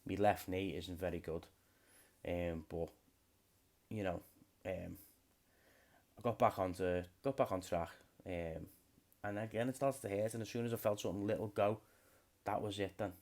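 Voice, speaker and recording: A man's voice: sits low, fairly even intonation, moderate pace, long pauses, darkish tone. A man in his late twenties, hosting a podcast alone in a rambling, swear-heavy casual chat. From United Kingdom. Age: late 20s. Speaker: podcast host.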